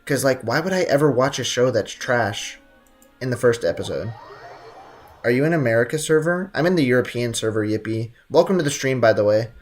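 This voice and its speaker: A man's voice: medium pitch, lively intonation, a little fast. A young man live streaming a video game, chatting casually and playfully with viewers in loose slang. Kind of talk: casual chat while gaming. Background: game music.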